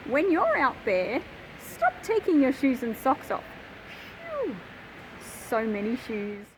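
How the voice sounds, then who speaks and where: A woman in her thirties presenting and explaining to young readers, speaking expressively and directly with emphatic phrasing. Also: high-pitched. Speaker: host of a children's picture book channel. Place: large industrial hall with old machinery. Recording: clear ambient background noise.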